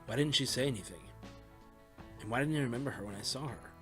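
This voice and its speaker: A man's voice: medium pitch, expressive, fast talker, a bit bright, soft background music, lightly acted. A young man playing an impatient, questioning academy recruit in an audio drama.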